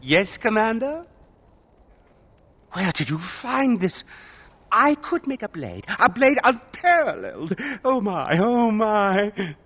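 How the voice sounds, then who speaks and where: Old perfectionist craftsman, fantasy game. High voice, unhurried, precious, dreamy delivery. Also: sing-song, fairly bright tone, full character voice. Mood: awed, excited. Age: older. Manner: affected, precious, breathlessly dramatic. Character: fussy, theatrical old male master craftsman, dreamy perfectionist. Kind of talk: fantasy video game acting.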